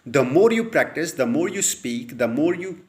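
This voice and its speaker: A man's voice: fairly high pitch, lively intonation, moderate pace, a little mellow. A man from Pakistan with a Pakistani accent, a native Urdu speaker, giving earnest English-learning advice with frequent "uh" fillers and repeated points. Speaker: English tutor. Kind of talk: advice talk to camera.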